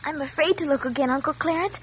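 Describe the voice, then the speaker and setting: Woman playing a high-voiced little schoolgirl. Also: fast, natural voice. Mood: timid, drowsy. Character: schoolgirl daughter, curious, turned primly haughty. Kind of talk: 1940s radio drama acting. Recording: muffled, noisy 1940s radio recording.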